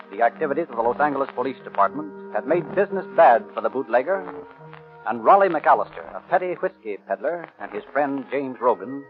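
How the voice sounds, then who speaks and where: An American man announcing and narrating from a script in a formal, declamatory 1930s radio style. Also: medium pitch. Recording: tinny 1930s radio recording, music behind.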